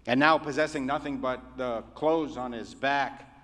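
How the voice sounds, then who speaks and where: Slow, bright-voiced man preaching in a hall. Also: medium pitch, steady tone. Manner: measured, oratorical, with deliberate pauses. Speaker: rabbi. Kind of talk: sermon. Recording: reverberant hall.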